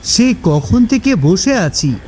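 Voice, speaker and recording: A man's voice: sits high, sing-song, slow pace, darkish tone, a little hiss or hum, heavily acted. A man playing an impatient ferry passenger in a ghost story cartoon, polite but urging.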